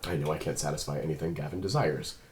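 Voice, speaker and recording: A man playing an earnest, reserved android detective in a drama read-through, speaking in measured, precise, formal phrases. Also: extremely low pitch, steady tone, darkish tone, slight background noise. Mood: guarded, firm. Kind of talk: table read of a drama script.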